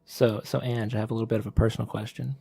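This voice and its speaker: A man's voice: fairly low pitch, level tone, rapid-fire, gravelly, dark tone, slightly rough, dry recording. A man co-hosting a podcast in casual chat, hesitant with many fillers. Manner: hesitant, many "uh" and "um", asks questions. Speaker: podcast co-host.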